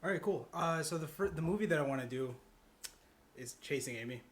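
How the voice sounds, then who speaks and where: A man's voice: on the high side, animated, brisk. A man chatting casually as a podcast guest, explaining his ideas in a rambling, conversational way. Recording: clear background noise.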